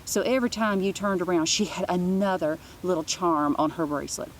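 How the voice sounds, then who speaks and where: A woman with a slightly low voice narrates a children's book aloud. Her storytelling is informal, and there is faint noise in the background. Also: brisk, rough recording. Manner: informal, with asides.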